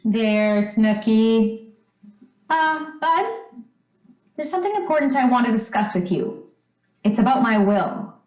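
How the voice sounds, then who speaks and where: Echoey recording, woman acting fond fussing mother, rather high voice, old-time radio play, trusting and chatty. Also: expressive, unhurried, pauses often, darkish tone, faint music behind. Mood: earnest, confiding. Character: doting, trusting, wealthy mother of a teenage son. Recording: roomy, echoing stage-like sound.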